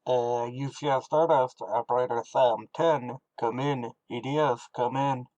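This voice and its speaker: A man's voice: mid-range voice, steady tone, a little slow, mellow, no room sound, dull audio, natural voice. An actor playing an officious male spaceship radio operator in a radio drama, clipped and by the book. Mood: brisk, dismissive.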